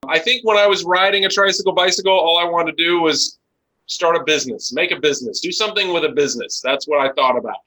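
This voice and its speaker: An American man in his forties speaks with a very bright, forceful voice pitched a little high for a man. He is a business owner being interviewed. He talks in a conversational, storytelling way. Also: fast, low-quality recording. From United States (Chicago and Los Angeles). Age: 40s.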